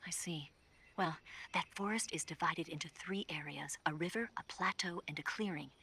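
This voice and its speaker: A knowing female spy in a video game. Her voice is low and husky but bright and crisp-edged, and she gives calm, hushed, measured briefings like a confidante. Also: exaggerated voice. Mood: informative, hushed. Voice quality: breathy, husky.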